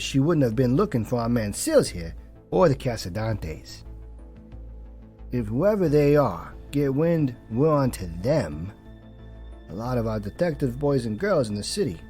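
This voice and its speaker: An actor playing an excitable, earnest police detective with slangy, streetwise phrasing in a noir audio drama. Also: male voice, mid-range voice, moderate pace, faint music behind, natural voice. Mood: reasoning, earnest.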